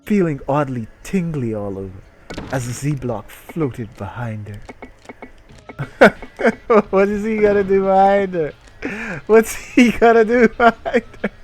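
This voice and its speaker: A man hosting a comedic dramatic reading, excitable and given to jokey asides. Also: high-pitched, unhurried, soft background music. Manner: excitable, breaks into jokey commentary. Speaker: reader and gamer host.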